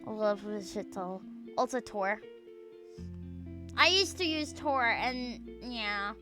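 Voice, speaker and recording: A woman's voice: fairly high pitch, expressive, slow, music in the background. A young woman streaming, chatting casually and reading chat aloud, rambling and swearing, full of fillers and half-finished sentences. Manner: rambling, profane, filler-heavy, trails off mid-sentence. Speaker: VTuber streamer.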